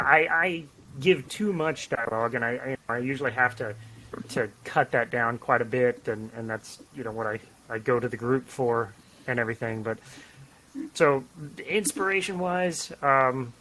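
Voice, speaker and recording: A man talking casually as a writer on a podcast, rambling and self-deprecating in an animated way. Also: medium pitch, unhurried, a little hiss or hum, poor audio. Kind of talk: casual podcast chat about writing. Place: home office, on a remote call.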